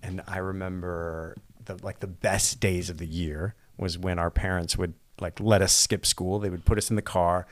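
A middle-aged man from California, a podcast guest talking in a relaxed, rambling way. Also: low voice, moderate pace, hardly any pauses. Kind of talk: casual chat.

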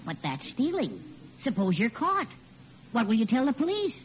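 A man's voice: extremely high pitch, huge pitch swings, normal speed, breathy edge, full character voice. An actor playing a meek, henpecked little man, prim and plaintive, in a vintage radio sitcom. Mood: prim, worried. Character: meek, mousy, henpecked little male neighbor. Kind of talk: 1940s radio sitcom acting. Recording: muffled, noisy old radio recording.

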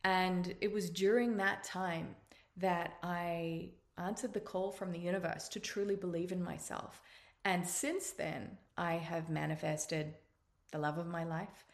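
An Australian woman in her forties hosts a motivational podcast, speaking in a somewhat low voice. She talks earnestly and encouragingly, stretching out her phrases as she speaks straight to her listeners. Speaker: podcast host. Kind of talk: motivational monologue.

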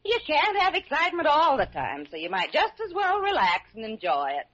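A woman playing a prim, put-upon hospital assistant in a vintage radio drama, husky and tart, with an indignant, no-nonsense way of talking. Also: sits high, wildly swooping pitch, a little fast, a bit bright, exaggerated voice. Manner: tart, indignant, matter-of-fact. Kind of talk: 1940s-50s radio drama acting. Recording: dull vintage radio recording.

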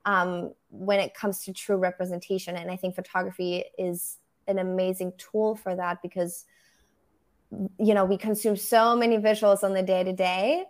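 Young woman, thoughtful and measured. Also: medium pitch, level tone. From Germany. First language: German. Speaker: photographer, podcast guest. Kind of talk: interview answers.

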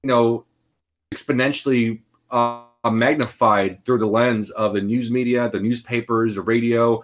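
A man's voice: mid-range voice, steady tone, measured pace. Rambling man in casual podcast chat. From Austria. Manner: rambling, full of restarts and fillers. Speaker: podcast co-host.

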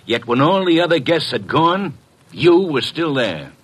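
An actor playing a stern, exasperated, husky police inspector in old-time radio drama style. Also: male voice, on the high side, very animated, measured pace, a bit bright, heavily acted.

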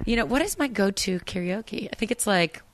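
A woman from Maryland with a low voice, an actress chatting in an interview and rambling with many fillers and restarts. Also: expressive, fairly quick, dry recording. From Maryland, USA. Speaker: actress, guest. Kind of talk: casual interview chat.

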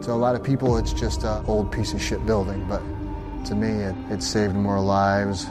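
A man's voice: lowish voice, little pitch movement, moderate pace. A man coaching a grappling team, speaking plainly and informally, with some swearing, in documentary talk. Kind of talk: documentary interview and motivational pep talk. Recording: loud music bed under the voice.

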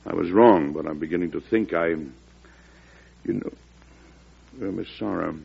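An actor playing a shrewd, folksy, patient president in an old-time radio drama, speaking in a measured, deliberating way. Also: male voice, deep voice, gravelly, slightly rough, exaggerated voice. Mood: preoccupied. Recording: dull old radio recording.

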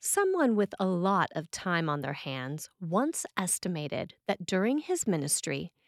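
A woman priest reading a scripted sermon in a measured, expressive way. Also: lowish voice, no room sound, clean studio sound.

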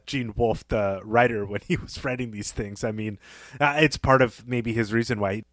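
Lowish-voiced man, thoughtful podcast host. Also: fairly bright tone, no room sound. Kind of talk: conversational literary discussion.

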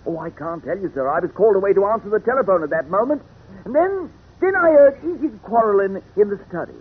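An actor playing a fussy, wordy gentleman's valet, prim, hesitant and deferential, in 1940s radio style. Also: high voice, animated, quick pace, slightly rough, narrow, tinny audio, exaggerated voice. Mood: agitated recounting. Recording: thin, band-limited old radio recording.

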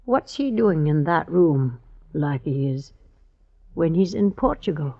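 A woman's voice: low voice, very animated, slow, exaggerated voice. An actress playing a simple, confused village neighbour woman with quaint rustic phrasing in an old radio drama. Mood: bewildered, pitying. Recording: muffled vintage radio recording.

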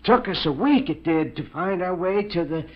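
Old male prospector, high-pitched, rustic, superstitious. Vintage radio thriller, muffled recording. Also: expressive, normal speed. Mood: haunted, recounting. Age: older. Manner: rustic, folksy, ungrammatical speech. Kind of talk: 1940s radio mystery acting. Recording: dull 1940s radio recording.

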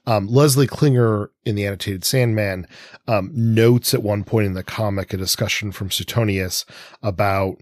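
A man's voice: on the low side, moderate pace, darkish tone, dry recording. A man co-hosting a podcast, discussing literature in a discursive way, thinking aloud as he goes. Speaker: podcast co-host. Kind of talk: literary discussion of comics and mythology.

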